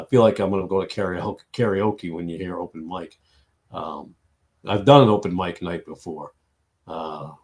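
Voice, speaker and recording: Stuffy, tired man with a low voice. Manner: rambling, many restarts and fillers, thinking aloud. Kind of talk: late-night livestream talk on faith.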